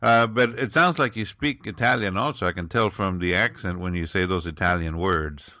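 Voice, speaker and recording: A man hosts an interview show with a bright, forceful voice pitched fairly low. He asks upbeat questions with small hesitations, and the sound is close and dry. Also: moderate pace, dry recording. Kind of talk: hosting an interview.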